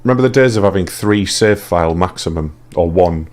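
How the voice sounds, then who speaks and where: A man's voice: low-pitched, a little fast. A British man chatting casually as he plays and comments on a video game. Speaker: let's play gamer. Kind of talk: casual gameplay commentary chat. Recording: loud game music behind.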